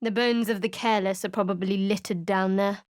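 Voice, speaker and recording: An actress voicing a guarded, sharp-tongued young female cleric in a video game, with a dry, clipped delivery. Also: mid-range voice, fairly even intonation, brisk, no room sound, close to an everyday voice. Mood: guarded, wry. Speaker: game character.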